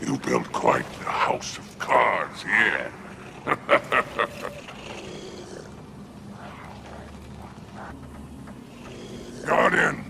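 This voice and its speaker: An actor voicing a sly, pompous alien spider crime lord in a video game, with a florid, sardonic drawl. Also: male voice, medium pitch, vocal fry, background noise, heavily acted. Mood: mocking. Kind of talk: video game cutscene acting.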